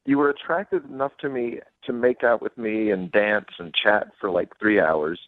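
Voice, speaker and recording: A man's voice: lowish voice, moderate pace, no room sound. A man phoning in as a guest on a radio dating segment, talking casually down a phone line. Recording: tinny band-limited phone line.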